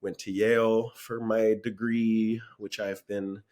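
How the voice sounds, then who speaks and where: A young man from Florida, a podcast guest, talking in a dry, deadpan way with lots of ums and likes. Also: on the low side, slow pace. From Florida, USA. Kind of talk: casual comedic chat.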